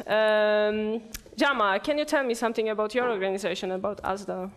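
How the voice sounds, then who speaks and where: A woman's voice: mid-range voice, a little fast. A woman interviewing guests at a conference, asking short prompting questions. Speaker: interviewer, TV magazine host. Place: conference venue, indoors.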